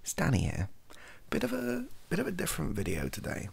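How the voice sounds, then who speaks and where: A young man chatting softly in a rambling, self-deprecating ASMR style, hesitant and husky, close to the microphone. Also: fairly high pitch, huge pitch swings, brisk. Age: young adult. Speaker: ASMR video creator. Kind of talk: softly spoken ASMR chat. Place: small bedroom at a desk.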